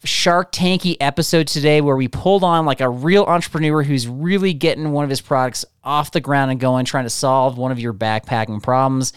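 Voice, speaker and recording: A man's voice: mid-range voice, fairly even intonation, fairly quick, hardly any pauses, smooth, dry recording, studio quality. A man hosting a casual podcast, teasing his co-host and laughing often.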